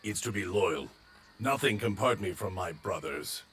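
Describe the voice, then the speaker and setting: An actor playing a loyal, honor-bound warrior general in a video game, speaking in a solemn, formal, declamatory way. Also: male voice, low voice, animated, unhurried, breathy edge, full character voice. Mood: solemn, respectful. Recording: loud music over the voice.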